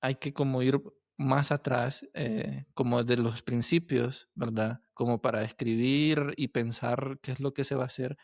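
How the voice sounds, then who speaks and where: A man hosting a casual podcast interview, halting as he searches for words and often checking with tag questions. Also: medium pitch, steady tone, creaky, darkish tone, no room sound, clean studio sound.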